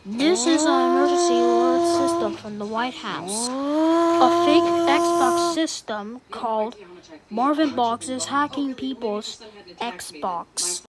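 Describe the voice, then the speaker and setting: A woman's voice: sits high, lively intonation, takes it slowly, heavy background noise. A woman delivers a scripted emergency broadcast announcement as an alert announcer, in a formal, solemn manner.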